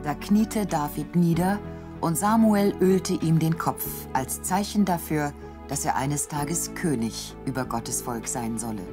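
A woman narrator tells a scripted Bible story. Her voice is very low for a woman, with faint music underneath. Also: measured pace.

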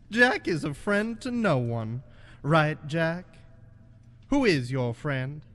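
A man's voice: on the high side, lively intonation, slow pace, fairly bright tone. A man acting a bullying, mocking toy cowboy who talks in folksy cowboy phrases in a student radio play. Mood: taunting. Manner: taunting, folksy cowboy talk. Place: college radio studio, live broadcast.

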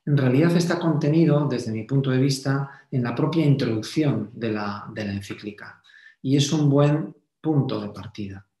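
A man presenting a slide lecture in a didactic, measured way with pauses. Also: mid-range voice, warm tone. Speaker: Jesuit speaker giving a conference talk. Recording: roomy, echoey voice pickup.

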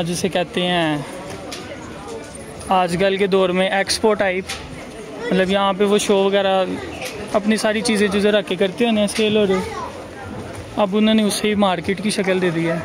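A man's voice: sits high, poor audio. A man giving casual, chatty commentary while walking through an outdoor market, musing aloud as he goes. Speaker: travel vlogger. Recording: busy crowd noise behind.

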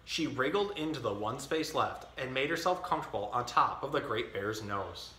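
A man in his thirties hosting a storytime, reading a children's picture book aloud with expressive, clearly enunciated delivery. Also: medium pitch, moderate pace. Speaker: storytime host. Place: indoor tiled-floor room. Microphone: camera a few feet away. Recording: echoey room.

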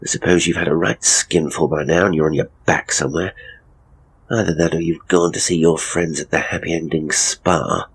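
A man's voice: very deep voice, steady tone, moderate pace, breathy edge, heavily acted. A man playing a hapless, pompous middle-aged ghost tour operator in a horror audio drama, with a British accent and a grumbling, theatrical, wordy delivery. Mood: irritated. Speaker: ghost tour guide and storyteller.

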